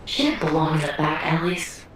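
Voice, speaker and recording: A woman voicing a cool, seasoned female fighter in a video game, brisk and commanding yet fond. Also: low-pitched, animated, a bit bright, heavy reverb, a little hiss or hum, poor audio. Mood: fond, wistful.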